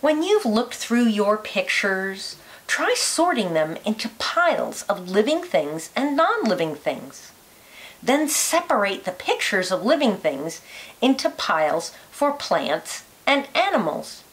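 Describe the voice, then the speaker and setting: A woman reading a children's educational picture book aloud like a teacher, phrasing it carefully and deliberately for young listeners. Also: medium pitch, big pitch swings, low-quality recording.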